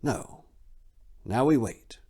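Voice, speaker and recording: A man voicing an ancient, wizened male abbot who speaks in a measured, solemn, questioning way for a fantasy story. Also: mid-range voice, expressive, a little mellow, slightly rough, pitched above the performer's own voice, full character voice. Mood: calm, welcoming. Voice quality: wizened, aged voice.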